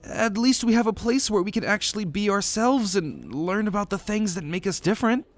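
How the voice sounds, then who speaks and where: A man's voice: sits high, expressive, brisk, no room sound, voice pushed up. A man voicing an earnest, optimistic young demigod student with a hesitant, reassuring manner in an ASMR roleplay audio drama.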